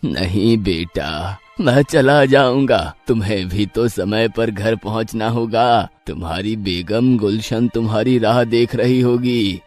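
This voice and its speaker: An actor playing a frail old blind beggar, pleading and kindly, in a moral cartoon. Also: male voice, fairly low pitch, slow pace, few pauses, darkish tone, soft background music. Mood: grateful, gentle. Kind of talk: Hindi moral cartoon dubbing.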